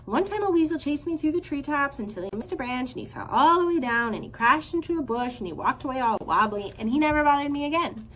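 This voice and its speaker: Woman doing a chatty little squirrel girl, high and bright, rambling, nervous, breathless run-on talk. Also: expressive, quick pace, hardly any pauses, slight background noise, low-quality recording.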